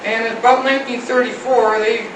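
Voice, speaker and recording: A high-pitched American man reads a prepared history aloud to a meeting. He is heard from a distance in an echoing room, on an old reel-to-reel tape with clear background noise. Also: fairly even intonation, fairly quick. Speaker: fire company captain presenting a history. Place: meeting room with a small audience.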